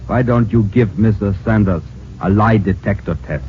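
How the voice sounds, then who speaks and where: A man playing a solemn, formal theorist who speaks deliberately in old-time radio drama. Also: fairly low pitch, close to an everyday voice. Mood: solemn, suggestive. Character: solemn, deliberate male theorist of mysterious disappearances. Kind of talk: 1940s-50s radio acting. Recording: muffled, hissy old radio recording.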